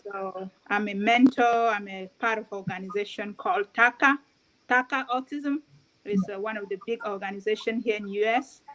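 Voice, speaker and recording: A woman's voice: medium pitch, fairly even intonation, moderate pace, a bit bright, rough recording. A woman from Cameroon introducing herself as a guest on a video call, with many hesitations. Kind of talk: online talk show guest.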